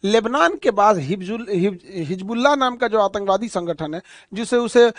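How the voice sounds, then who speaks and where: A man's voice: sits high, lively intonation, moderate pace, dry recording. A man explaining current affairs like a teacher, in colloquial language with repeated phrases and everyday examples.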